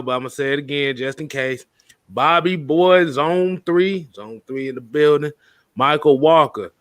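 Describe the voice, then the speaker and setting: A man's voice: fairly high pitch, a bit bright, clean tone, dry recording, studio quality. A man co-hosting a football fan live stream with casual, slangy, jokey banter and some swearing. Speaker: co-host of a football fan show.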